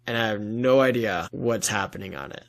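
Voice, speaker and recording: A man's voice: fairly low pitch, moderate pace, gravelly. A man speaking as a guest author in a casual interview, with an earnest, enthusiastic and informal manner.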